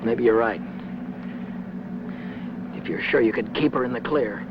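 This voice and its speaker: A man's voice: medium pitch, a little slow, natural voice. An actor playing a desperate young American man on the run, urgent and stammering, in 1950s film noir style. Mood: despairing. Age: young adult. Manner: urgent, stammering under pressure. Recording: muffled old film soundtrack, loud engine noise.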